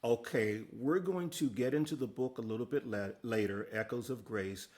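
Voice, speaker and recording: A man's voice: medium pitch, moderate pace, darkish tone. A man hosting an interview, upbeat and encouraging as he introduces topics and asks questions. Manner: upbeat and encouraging, laughs often. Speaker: interview host.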